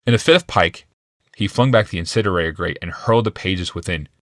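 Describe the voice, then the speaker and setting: A man's voice: deep voice, fast talker, a bit bright, dry recording, studio quality. A man narrating fiction as a scripted, dramatic audiobook.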